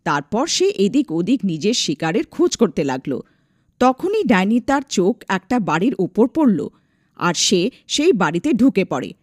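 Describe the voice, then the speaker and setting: A woman narrating a scripted animated folk tale as its storyteller. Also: medium pitch, moderate pace, dry recording, studio quality.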